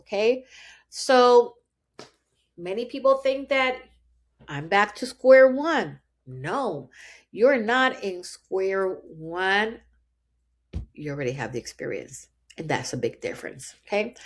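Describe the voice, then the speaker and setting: A woman with a Puerto Rican accent and Spanish as her first language, hosting a motivational podcast and talking straight to her listeners in an earnest, encouraging way. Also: fairly low pitch. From Puerto Rico. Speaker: podcast host.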